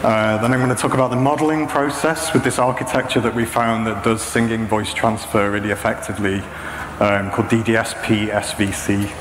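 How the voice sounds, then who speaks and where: A man in his thirties presenting a technical talk, explaining step by step in a measured, hesitant way. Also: fairly low pitch, fairly even intonation. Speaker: conference speaker. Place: indoor talk stage with projection screen. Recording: echoey hall PA with clear background noise.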